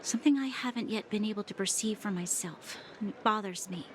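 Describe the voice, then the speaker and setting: A woman's voice: medium pitch, brisk, a little hiss or hum, natural voice. An actress playing an earnest young woman soldier, torn between duty and doubt, in a video game. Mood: reflective. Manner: earnest, reflective, conflicted. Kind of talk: game voice acting. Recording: music in the background.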